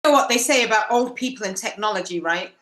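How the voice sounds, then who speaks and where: A woman's voice: mid-range voice, fast talker, a bit bright, reverberant. A woman speaking as a panelist on a video call, blunt and joking.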